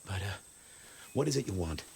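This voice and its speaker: An actor playing a sardonic, weary investigator with a wry, offhand delivery in a video game. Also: male voice, low voice, lively intonation, normal speed, darkish tone, slight background noise. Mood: sardonic, impatient. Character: sardonic, weary male investigator making casual deals. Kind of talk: video game dialogue acting.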